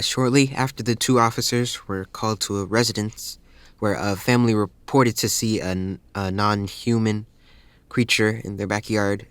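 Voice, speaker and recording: A man playing an earnest, nervous student who presents haltingly to a class in an audio drama. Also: on the low side, dry recording, close to an everyday voice. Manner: halting, stumbling, hesitant.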